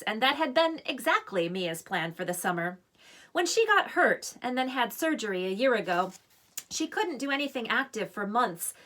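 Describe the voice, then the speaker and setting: A woman author reading her children's novel aloud as expressive scripted narration. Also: on the high side.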